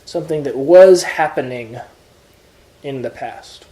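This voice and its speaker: Male tutor, patient, step-by-step explainer, warm tone, roomy echoey recording. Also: mid-range voice, unhurried. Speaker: Greek tutor. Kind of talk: informal one-on-one lesson.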